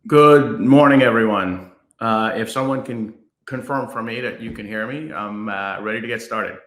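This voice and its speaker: Bright-voiced man giving a remote keynote. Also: on the low side, reverberant. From Guyana. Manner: measured, with frequent fillers and restarts. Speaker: keynote speaker. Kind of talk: remote keynote presentation with demo. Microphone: computer mic on video call.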